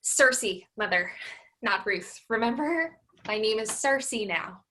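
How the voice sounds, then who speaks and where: A woman playing a brisk, exasperated grown daughter in a staged play reading. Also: on the high side, expressive, bright, forceful tone, full character voice. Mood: brisk, corrective.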